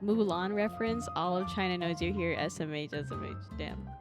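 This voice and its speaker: A woman's voice: fairly low pitch, fairly quick. A young woman live streaming a game with casual, slangy, sweary commentary, often repeating her phrases, with game music behind her. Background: video game music.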